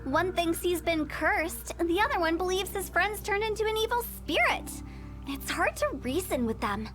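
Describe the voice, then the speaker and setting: A woman's voice: very high voice, lively intonation, normal speed, fairly bright tone. A woman voicing a playful young female funeral parlor director in a video game, breezy and teasing as she explains things. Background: game music.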